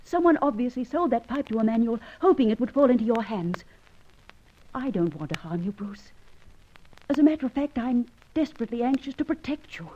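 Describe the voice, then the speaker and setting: High-voiced, anxious female double agent. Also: lively intonation. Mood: urgent, pleading. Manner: dramatic, urgent, breaking into hushed pauses. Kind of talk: old radio melodrama acting. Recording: dull lo-fi old radio recording.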